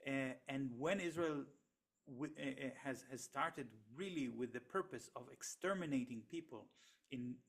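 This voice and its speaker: A middle-aged man whose first language is Hebrew, a researcher explaining his work in an interview with steady, hesitant pacing and frequent pauses and restarts. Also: mid-range voice, creaky. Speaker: economist and researcher, interview guest.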